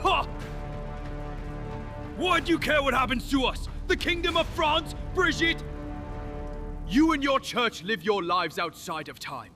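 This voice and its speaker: An actor playing a haughty, indignant medieval ruler in an audio horror drama, imperious in manner. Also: male voice, very high voice, animated, measured pace, long pauses, music in the background.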